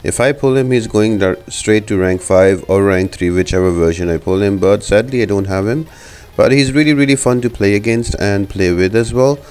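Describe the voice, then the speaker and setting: A man's voice: fairly low pitch, normal speed, darkish tone, smooth. A man giving casual, explanatory walkthrough commentary for a video game as the host of a guide.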